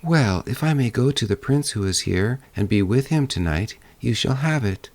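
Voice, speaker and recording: A man's voice: mid-range voice, expressive, normal speed, a little mellow, pitched above the performer's own voice. A man voicing a plucky, steadfast young girl in a fairy tale, firm and plain-spoken.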